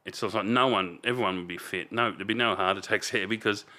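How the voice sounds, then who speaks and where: A man in his fifties with an Australian accent and a loud voice, telling stories as a podcast guest in a rambling way, trailing off and restarting a lot. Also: fairly low pitch, quick pace. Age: 50s. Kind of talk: casual storytelling chat.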